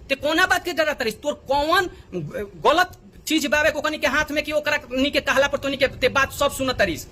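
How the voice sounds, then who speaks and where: Man, Bhojpuri accent, high pitch, forceful tone, indignant appeal, repetitive. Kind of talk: agitated direct appeal in a video.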